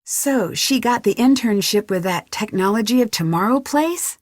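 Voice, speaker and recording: A woman's voice: on the high side, lively intonation, normal speed, no echo, studio quality. An actress playing a curious, level-headed young female detective, chatting earnestly and a little wryly in a video game. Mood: friendly. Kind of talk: conversational game dialogue, one side of phone calls.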